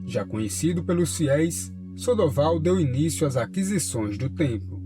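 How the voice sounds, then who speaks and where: A man reading a scripted documentary narration in a measured, formal way. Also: fairly high pitch, expressive. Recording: voice-over with guitar music behind.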